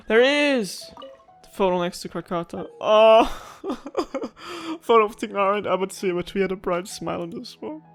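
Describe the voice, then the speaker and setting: A woman's voice: medium pitch, animated, unhurried. A young woman giving casual, chatty, emotionally reactive let's-play commentary. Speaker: gaming streamer. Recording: game music behind voice. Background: video game music.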